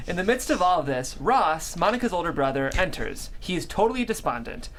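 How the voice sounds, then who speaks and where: A woman's voice: fairly low pitch, big pitch swings, fairly quick, slight background noise. A woman hosting a script table read and reading out the stage directions. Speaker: host and stage-direction reader.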